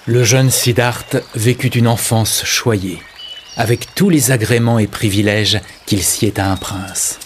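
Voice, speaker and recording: A man's voice: fairly low pitch, steady tone, slow pace. A man narrating a tale in a scripted storybook style. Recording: loud music under the narration.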